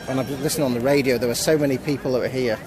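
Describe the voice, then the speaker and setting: A man gives an unscripted on-location news report, speaking haltingly and repeating words as he goes. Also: mid-range voice, fairly even intonation. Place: outdoors in a busy city square. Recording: outdoor city crowd and traffic noise. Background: crowd and traffic.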